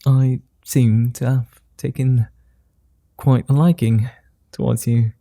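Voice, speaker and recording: A flirtatious, kind-hearted vampire, voiced by a man, murmurs in an audio roleplay. His tone is very dark and gravelly with a husky edge, and he takes his time over every word. The sound is dry and close. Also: mid-range voice, fairly even intonation, dry recording. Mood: tender. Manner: soft, slow, flirty and teasing. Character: gentle flirtatious male immortal vampire. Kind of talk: ASMR roleplay.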